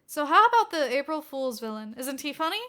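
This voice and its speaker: A woman's voice: sits high, sing-song, brisk, sharp tone, heavily acted. A woman voicing a cheerful, earnest male hero and teacher in a story reading, with a friendly manner.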